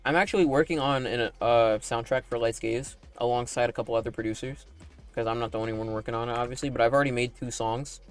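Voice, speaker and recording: A man chatting casually on a livestream while playing a game, with a slightly raspy, deepened broadcast voice and a rambling manner full of likes and tag questions. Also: fairly even intonation, fast talker, soft background music. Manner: talking in a put-on broadcast voice. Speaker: animator and streamer.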